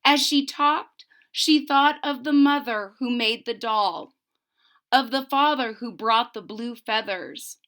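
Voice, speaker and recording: A woman storyteller reads expressively to young listeners. Her voice is fairly high and bright, and she goes slowly and deliberately, pausing to explain. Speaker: read-aloud storyteller. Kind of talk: expressive children's story read-aloud with explanatory asides.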